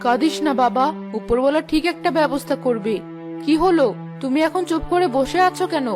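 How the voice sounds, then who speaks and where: A woman's voice: high voice, brisk, music in the background, lightly acted. A woman voicing an anxious village wife and mother in a cartoon. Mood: comforting. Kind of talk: cartoon dialogue.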